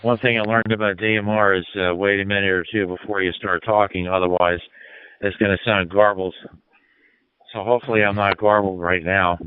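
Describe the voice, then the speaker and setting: A man chatting casually as an amateur radio operator, halting and polite, spelling out call signs phonetically over a narrowband digital radio link. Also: on the low side, fairly even intonation, smooth.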